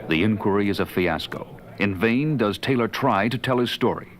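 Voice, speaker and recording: An actor as a solemn storyteller, giving dramatic scripted narration for an audio adventure. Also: male voice, on the low side, lively intonation, moderate pace, a crowd in the background, close to an everyday voice. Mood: grave.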